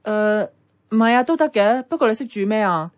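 A woman's voice: fairly high pitch, lively intonation, a little fast, close to an everyday voice. An actress with a Hong Kong Cantonese accent playing a sentimental young woman in a radio drama, narrating and acting. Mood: hesitant. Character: sentimental young woman about to part from her love. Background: music, plucked guitar.

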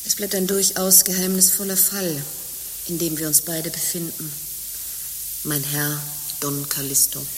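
An actress playing a worldly, stern abbess in a German radio play, speaking formally and theatrically. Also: female voice, low-pitched, level tone, a little slow, slight background noise. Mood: pensive. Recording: long reverb, music behind.

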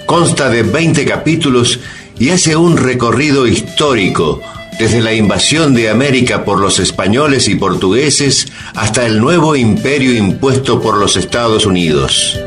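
Male presenter over clear music. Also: medium pitch, lively intonation, measured pace, fairly bright tone. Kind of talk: scripted radio introduction.

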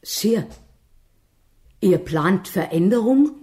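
An actress playing a haughty, sharp-tongued queen and mother in a German radio play, cutting and formal. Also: mid-range voice, animated, slow. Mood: exasperated. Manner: sardonic, cutting, formal courtly address.

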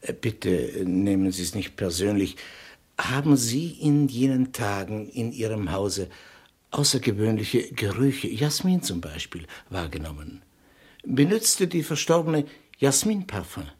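An actor playing a wry, deceptively polite detective in a 1960s radio crime play, probing quietly in a husky, hesitant, insinuating way. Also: male voice, fairly low pitch, lively intonation, measured pace. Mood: placating.

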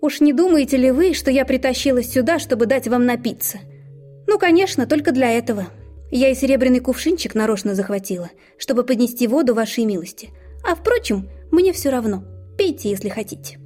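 A woman's voice: fairly high pitch, lively intonation, brisk, dark tone, soft background music. A woman voicing a rude, spoiled elder daughter in a fairy-tale reading, sneering and sarcastic. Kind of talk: storybook reading.

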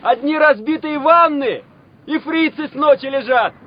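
A muffled old radio recording with an eager young male officer. His voice is very high, animated and bantering, as in a war radio play. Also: moderate pace, slight background noise. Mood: excited, bantering. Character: excited, cheerful young male Soviet artillery officer.

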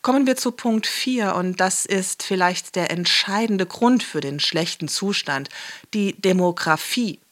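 A man's voice: high-pitched, a little slow, fairly bright tone. A man playing a pompous, formal policy speaker who warns rhetorically of economic doom in a satirical drama. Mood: grave, rhetorical. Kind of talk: satirical political speech.

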